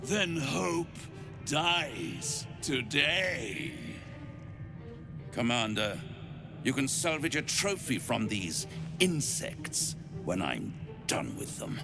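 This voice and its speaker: An actor playing a suave, cruel devil lord in a video game, with grand, menacing, drawn-out delivery. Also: male voice, mid-range voice, big pitch swings, creaky, music in the background, heavily acted. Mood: mocking menace. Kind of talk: dramatic video game villain acting.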